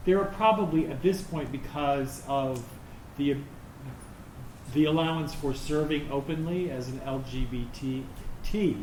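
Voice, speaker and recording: Halting older man in an echoey room. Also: a little high, darkish tone. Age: middle-aged or older. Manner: halting, searching for words. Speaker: theater director answering audience questions. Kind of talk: informal post-show Q&A. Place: roomy indoor performance space. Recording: echoey room with clear background noise.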